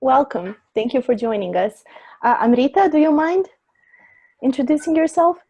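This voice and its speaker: A woman's voice: high-pitched, big pitch swings, moderate pace, a little mellow, slightly rough, muffled recording. A woman hosting a webinar on a video call, politely calling on each guest. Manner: polite, apologetic about names. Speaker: webinar host.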